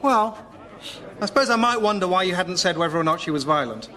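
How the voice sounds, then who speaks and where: An actor playing a slyly jealous friend in a radio comedy sketch, breezy and feigning innocence. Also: male voice, high voice, animated, brisk, heavy background noise. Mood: blandly innocent.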